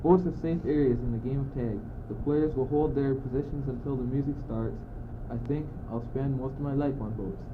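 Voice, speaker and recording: A man's voice: medium pitch, fairly quick, few pauses, lightly acted. A teenage boy playing a philosophizing, impatient courtier in an amateur radio play, declamatory and exasperated. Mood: musing. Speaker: student actor. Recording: noisy phone-like old school recording.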